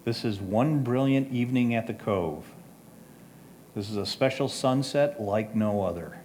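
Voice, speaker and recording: A man's voice: mid-range voice, measured pace, a little mellow. A man reading photo titles and short captions aloud for a presentation. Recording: clear background noise, room ambience.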